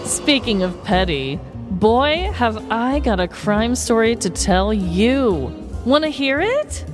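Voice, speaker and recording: A woman's voice: on the high side, expressive, slow, music in the background. A woman playing a bossy, chirpy dentist in a comic podcast sketch.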